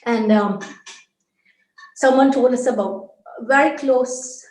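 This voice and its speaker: A woman's voice: fairly high pitch, slow, a little mellow, reverberant, rough recording. A woman from Barbados, an older interview guest, earnestly recounting a personal spiritual journey with frequent restarts. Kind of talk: personal testimony in conversation.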